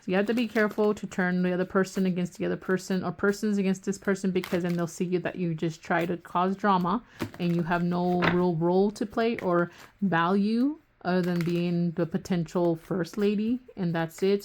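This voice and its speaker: Woman tarot reader, low-ish pitch, gravelly voice going hoarse, loose rambling delivery with casual swearing. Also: brisk, background noise. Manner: rambling, often restarts sentences. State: losing her voice. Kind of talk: casual tarot reading to camera.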